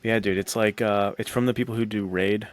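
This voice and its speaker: A man's voice: on the low side, steady tone, brisk, no room sound. A man chatting casually as a podcast co-host, blunt and full of bro slang. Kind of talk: casual movie chat.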